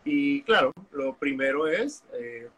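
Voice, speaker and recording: A man's voice: mid-range voice, lively intonation, takes it slowly, a bit bright, a little hiss or hum. Candid man telling his story. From Honduras. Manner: candid, storytelling, frequent fillers. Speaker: guest, activist. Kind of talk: interview conversation. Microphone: video call.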